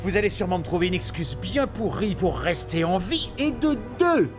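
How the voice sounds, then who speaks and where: A man's voice: high-pitched, sing-song, moderate pace, over music. A man voicing an arrogant, theatrical mad-genius doctor in a film dub, sneering and menacing with pompous, mocking speech. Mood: taunting, threatening. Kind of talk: French film fan dub acting.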